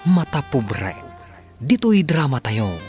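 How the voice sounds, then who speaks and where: Man, Filipino accent, medium pitch, sweeping intonation, dramatic radio announcing, music behind. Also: big pitch swings, slow, darkish tone. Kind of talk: dramatic scripted narration.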